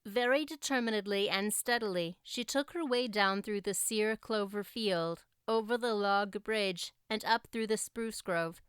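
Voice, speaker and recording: A woman's voice: mid-range voice, measured pace, few pauses, clear, resonant tone, no room sound, studio quality. A woman reading scripted story narration as an audiobook narrator.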